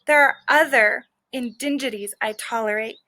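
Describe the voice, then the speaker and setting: A woman's voice: a little high, moderate pace, sharp tone. A woman teacher reading a children's book aloud expressively, stressing key words and adding explanatory asides for young listeners.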